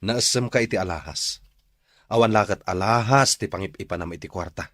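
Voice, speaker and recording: A man's voice: fairly low pitch, a little fast, fairly bright tone, no room sound, studio quality, close to an everyday voice. A man playing a weary, exasperated husband in an Ilocano radio drama, grumbling and reproachful. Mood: exasperated, bitter.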